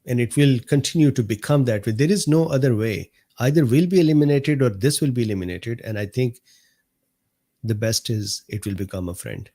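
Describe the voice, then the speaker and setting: A man with a mellow, slightly hoarse voice hosts a live stream from his desk mic. He reads viewer comments aloud in an unhurried, easygoing way. He laughs often and answers viewers by name. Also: mid-range voice. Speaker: host of a live medical talk stream. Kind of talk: casual livestream chat reading viewer comments.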